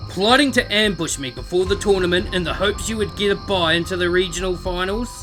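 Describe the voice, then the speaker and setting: A man's voice: high-pitched, brisk, a bit bright, faint music behind. A man playing a smug, menacing young gang fighter in a visual novel, mockingly polite and theatrical. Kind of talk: acted visual novel dialogue.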